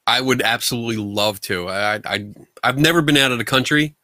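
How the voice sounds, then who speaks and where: A middle-aged man from the United States talks over a video call, blunt and colloquial, with animated energy. His voice is bright and forceful, with a dry, close sound. He speaks from a room at home. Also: mid-range voice, a little fast, no echo. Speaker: guest paranormal investigator. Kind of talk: casual video call interview. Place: home room full of collectibles.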